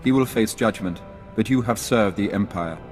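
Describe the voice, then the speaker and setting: An actor playing a formal, imperious envoy of a dark council in a sci-fi game, with a stately, officious delivery. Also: male voice, lowish voice, moderate pace, lightly acted. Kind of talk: scripted sci-fi game acting. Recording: long hall reverb, faint music.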